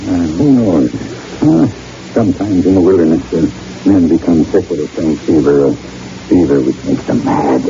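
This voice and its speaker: An actor playing a haunted, uneasy backwoods guide with a French-Canadian accent, halting and wary, in an old radio drama. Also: male voice, extremely low pitch, expressive. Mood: brooding. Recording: muffled vintage radio recording, loud hiss.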